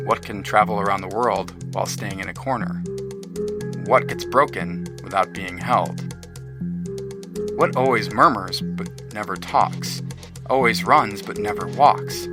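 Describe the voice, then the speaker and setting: A man reciting a string of riddles in a measured way in an audio drama. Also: fairly low pitch. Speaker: reader of riddles. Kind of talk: scripted audio drama reading. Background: music.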